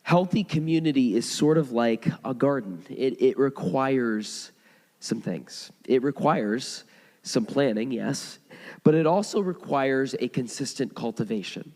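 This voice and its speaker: A hoarse man preaching a sermon, telling stories in a conversational way with frequent restarts. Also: mid-range voice, animated, a little slow, a little mellow. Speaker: pastor. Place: church sanctuary. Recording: long hall reverb.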